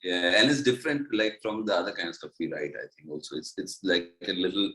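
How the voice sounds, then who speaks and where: A man's voice: deep voice, expressive, fast talker, bright, forceful tone. A man with an Indian accent chatting casually as a musician guest, rambling and leaning on filler "like". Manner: rambling, peppered with "like". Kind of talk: casual chat.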